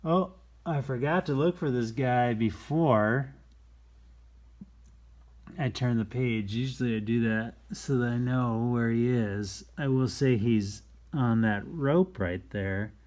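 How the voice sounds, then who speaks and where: A man hosting a children's story time, reading aloud in a playful, expressive way with chatty, folksy asides and shifts between hushed and exclaimed delivery. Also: mid-range voice, slow. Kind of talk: animated read-aloud with casual asides to young viewers.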